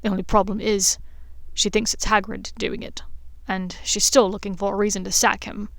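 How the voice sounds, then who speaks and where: A woman's voice: mid-range voice, little pitch movement, normal speed, no echo, natural voice. Woman voicing a sardonic, haughty teenage wizard schoolboy. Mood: wry. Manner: dry, adamant.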